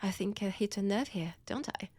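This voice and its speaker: A woman's voice: mid-range voice, darkish tone, no echo, natural voice. A woman with a French accent voicing a seductive, menacing female vampire in an intimate ASMR roleplay, whispery and teasing, close to the microphone. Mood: taunting. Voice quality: breathy, whispery. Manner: slow, teasing, deliberate delivery. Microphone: close, dry microphone.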